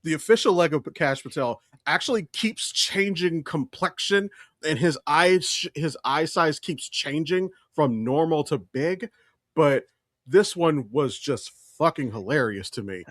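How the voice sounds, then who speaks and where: A man's voice: on the high side, animated, measured pace, a bit bright, dry recording, clean studio sound. A man co-hosting a podcast, talking casually and opinionatedly in a blunt, profane way. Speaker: podcast co-host.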